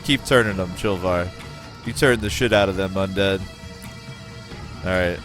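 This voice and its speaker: An American man streaming a game, giving excitable, profane play-by-play and chatting with viewers. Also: low voice, normal speed, music in the background. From United States. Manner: excitable, profane, talks to chat. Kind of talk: casual gameplay commentary.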